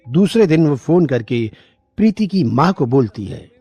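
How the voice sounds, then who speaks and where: A man's voice: fairly high pitch, very animated, moderate pace, warm tone, husky. A man narrating a scripted moral story as a storyteller.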